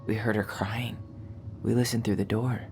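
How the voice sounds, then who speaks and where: An actor playing a blunt, wounded young American man in a video game, with a low-key sardonic way of talking. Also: little pitch movement, normal speed, creaky, background noise. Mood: subdued, sad. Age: young adult. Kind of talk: naturalistic dramatic game acting.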